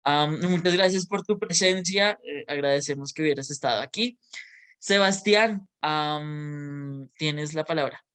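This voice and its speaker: A polite, measured moderator of a museum Q&A on a video call, speaking with some fillers. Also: medium pitch, a bit bright, clean tone.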